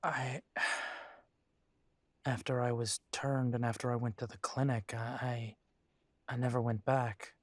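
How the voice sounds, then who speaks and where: Werewolf boyfriend for audio drama, male actor: mid-pitched, unhurried and halting, devoted yet weighed down by family estrangement, very dry sound. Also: level tone, dry recording, natural voice. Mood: troubled, torn. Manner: halting, sighing, tender. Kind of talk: intimate roleplay audio drama.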